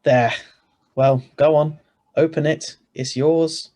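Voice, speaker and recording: A man's voice: on the high side, steady tone, takes it slowly, mellow. A man playing a skeptical, exasperated husband who also narrates, reading a radio drama script in a wry, sighing manner. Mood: impatient, sardonic. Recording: live video-call recording.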